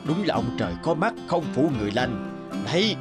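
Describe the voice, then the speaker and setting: A man's voice: mid-range voice, sing-song, brisk, music in the background, lightly acted. A man playing a gossipy elderly villager in a cartoon, with a blunt, folksy, chatty manner. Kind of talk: dubbed cartoon acting.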